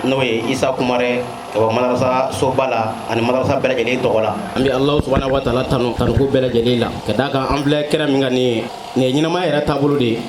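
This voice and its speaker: A man recites prayers in a very rapid, flat chant as he leads a blessing. The sound is echoey, and a loud crowd fills the background. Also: mid-range voice. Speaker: imam giving blessing.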